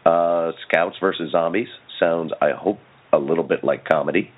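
A man's voice: low-pitched, normal speed, slightly rough. A man hosting a casual interview in a chatty, self-deprecating way. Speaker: interviewer, show host. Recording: narrow phone-like sound.

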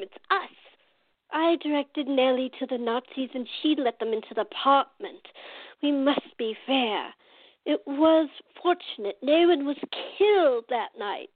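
A woman's voice: sits high, dry recording, phone-quality sound. A woman playing a stubborn, fair-minded old woman, cantankerous yet composed, in a radio theater drama.

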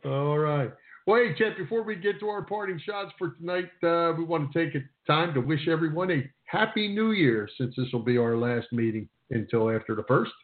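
A man's voice: on the high side, expressive, moderate pace. A man co-hosting a sports radio show in a casual, conversational style. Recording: narrow phone-like radio sound.

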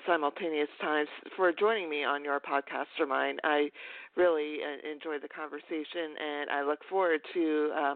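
A woman hosting a podcast interview in a conversational style, with hesitant, halting phrasing. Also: deep voice, no room sound. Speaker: podcast host. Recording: phone-like radio sound, dry.

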